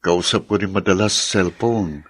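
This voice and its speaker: Low, breathy respectful son. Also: male voice, normal speed, dry recording. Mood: polite. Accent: Filipino. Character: respectful young Filipino son. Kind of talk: Filipino radio drama acting.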